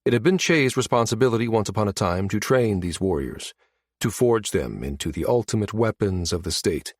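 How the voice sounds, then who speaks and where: A man narrates a science fiction audiobook in a low voice. The sound is dry, with very little room to it, and the delivery is that of scripted prose read aloud. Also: animated, brisk, dry recording.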